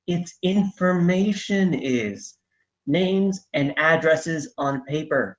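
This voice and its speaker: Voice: medium pitch, slow pace, lightly acted, low-quality recording. A performer reading the part of a determined, stubborn young orphaned drone racer at a screenplay table read, speaking insistently. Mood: determined, defensive.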